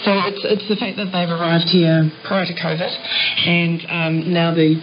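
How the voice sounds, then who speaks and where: A woman's voice: on the low side, level tone, normal speed. A woman talking off the cuff as a business owner in a news interview. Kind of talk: spontaneous interview talk. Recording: muffled shortwave radio, clear static.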